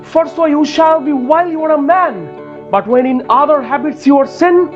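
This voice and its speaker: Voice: high-pitched, moderate pace, lightly acted. A performer playing a lordly, passionate duke in love, in a dramatic Shakespeare reading. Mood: amazed, ardent. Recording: dull lo-fi audio under guitar music.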